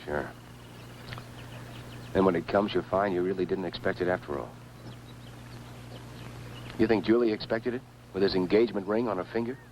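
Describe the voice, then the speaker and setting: Grim, terse engineer for a male actor, low register, vintage science fiction film with faint soundtrack noise. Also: normal speed, long pauses, darkish tone, lightly acted. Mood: bitter, troubled. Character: grim field engineer man blaming himself at crash site. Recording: noisy old film soundtrack.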